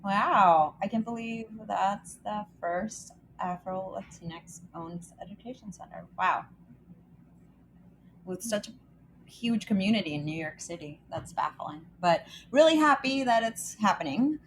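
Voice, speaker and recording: A woman's voice: medium pitch, unhurried, slight background noise, poor audio. A woman from El Salvador co-hosting a podcast in a casual, chatty way, reading short news items and reacting to them. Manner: upbeat, chatty, reads news items aloud. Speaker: podcast co-host.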